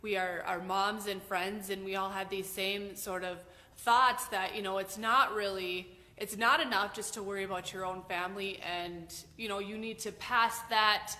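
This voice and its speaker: A woman co-host speaks casually, halting often and filling gaps as she goes. Her voice is bright with a middle pitch. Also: steady tone, in a large hall.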